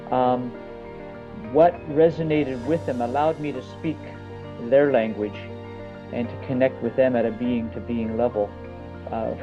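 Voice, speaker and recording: Halting, reflective man, music playing behind. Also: mid-range voice. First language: English. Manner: halting, reflective, pausing mid-thought. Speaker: coach. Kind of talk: personal testimonial talk to an audience. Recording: slightly dull audio with music underneath.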